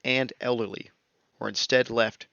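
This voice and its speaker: A young man narrating a scripted history lesson in a steady, measured reading voice, as a close voice-over. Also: medium pitch, vocal fry, no echo. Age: young adult. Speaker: student narrator. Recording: dull lo-fi dry recording.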